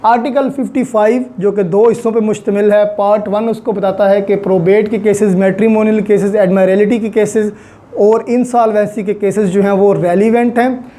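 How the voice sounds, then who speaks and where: Dark-voiced Pakistani woman giving a lecture. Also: medium pitch, fairly even intonation, moderate pace. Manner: methodical, repeats key points in short phrases. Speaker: law lecturer. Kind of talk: explanatory lecture reviewing legal articles.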